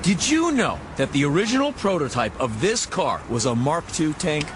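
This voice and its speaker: An actor playing a fast-talking, folksy and slippery used-car salesman in a radio drama, with wordy hard-sell patter. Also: fairly high pitch, sing-song, background noise.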